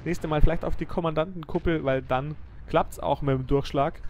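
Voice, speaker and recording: A young man giving lively, excited play-by-play commentary over a tank game replay, praising the moves as he goes. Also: fairly high pitch, moderate pace, a little hiss or hum.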